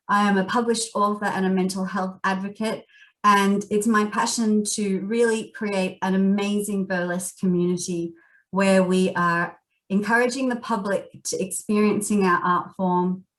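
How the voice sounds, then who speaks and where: A woman's voice: lowish voice, recorded from a distance, rough recording. A woman from Australia with an Australian accent, hosting an online panel in an earnest, heartfelt way. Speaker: panel host. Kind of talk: video panel introduction.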